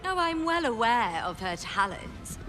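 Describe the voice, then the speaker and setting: A posh sly exec for a game cutscene, very swoopy mid-pitched voice, wry and gracious, music under. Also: female voice, sing-song, unhurried, a bit bright, low-quality recording. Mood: conspiratorial. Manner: genteel, urbane, wry. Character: refined, wealthy, self-assured businesswoman, slyly gracious. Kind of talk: video game cinematic voice acting.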